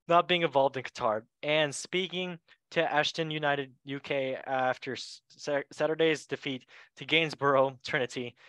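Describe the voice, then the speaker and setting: A man hosts a casual football chat show with a bright, forward voice. He is a non-native English speaker who talks in a jokey, excitable manner. The sound is dry, with no room on it. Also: on the high side, no room sound. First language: not English. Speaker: show host.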